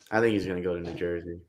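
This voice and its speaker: Young male guest, loud and lively, low-ish voice, loose casual delivery. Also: a little hiss or hum. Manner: animated, quick bursts, lots of "like". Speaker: podcast guest. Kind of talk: casual chat.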